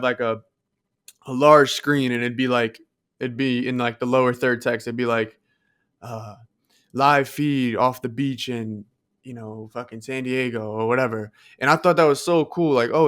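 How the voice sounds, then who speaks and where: A man's voice: medium pitch, a bit bright, clean tone. A man co-hosting a podcast, chatting informally in a meandering way with casual swearing. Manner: informal, meandering, profane, posing questions. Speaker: podcast co-host. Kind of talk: casual chat.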